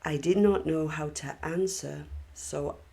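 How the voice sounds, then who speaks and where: A woman reads a children's storybook aloud in a fairly low voice with a creaky edge. She takes her time, phrasing each line deliberately and expressively. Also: fairly even intonation, mellow. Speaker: storybook reader.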